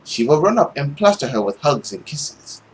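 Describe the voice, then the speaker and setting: A young adult man narrating a visual novel aloud as a let's play host, in an expressive, dramatic way. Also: a little high, normal speed, rough recording. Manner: animated, dramatic reading. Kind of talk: expressive story narration with casual commentary.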